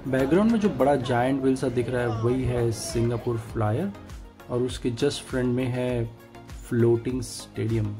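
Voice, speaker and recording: A man narrating his travel vlog in a calm tour-guide manner, mixing in English phrases. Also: medium pitch, normal speed. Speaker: travel vlogger. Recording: voice over loud music.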